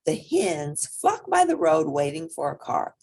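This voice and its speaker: A woman reading a children's picture book aloud as an expressive storyteller. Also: low voice, a little slow, breathy edge.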